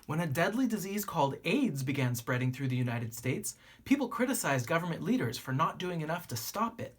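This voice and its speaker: A teacher reading a children's picture book aloud in an animated way, with drawn-out emphasis and a slightly husky voice. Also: mid-range voice. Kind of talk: expressive children's storybook read-aloud.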